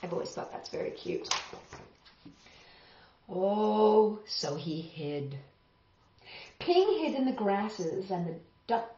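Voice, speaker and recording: A middle-aged woman reading a picture book aloud as a storytime host, expressive and dramatic, addressing young listeners directly. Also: fairly low pitch. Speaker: children's storytime host and reader. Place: small home room, indoors, seated on a sofa. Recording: roomy, slightly dull home video sound.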